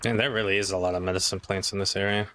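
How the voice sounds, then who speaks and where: A man's voice: sits low, flat delivery, racing, sharp tone. A man doing casual gameplay commentary as a streamer, muttering offhand and swearing to himself. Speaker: game streamer. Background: video game music.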